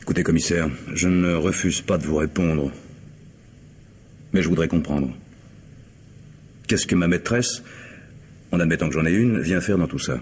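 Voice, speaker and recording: Suspected husband male actor: deep voice, formal, measured, reticent; radio mystery with faint recording noise. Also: darkish tone, close to an everyday voice. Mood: guarded. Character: guarded, formal bourgeois husband under suspicion. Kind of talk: French radio mystery drama acting. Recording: noisy old radio drama recording.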